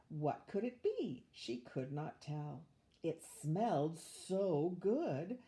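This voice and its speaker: An older woman reading a rhyming children's story aloud in an expressive, measured way. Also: mid-range voice. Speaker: storybook reader, retired teacher.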